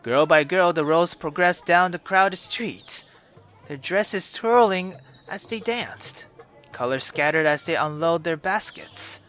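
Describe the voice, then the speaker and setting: A man's voice: fairly high pitch, takes it slowly. A man reading a visual novel aloud theatrically while playing it, breaking into casual, sweary commentary. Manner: theatrical, expressive, swears freely in asides. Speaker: video game player reading aloud with commentary. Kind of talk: dramatic visual novel narration with casual reactions.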